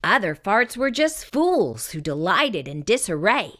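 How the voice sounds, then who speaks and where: A woman reading a children's picture book aloud in expressive, scripted narration. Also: medium pitch, unhurried.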